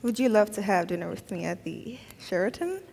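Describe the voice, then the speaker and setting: A woman's voice: mid-range voice, sing-song, brisk, creaky, a little mellow, long reverb tail, slight background noise. A woman voicing an eager, flustered woman trying to get a date. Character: pushy woman chasing a date. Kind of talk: hobby voice drama.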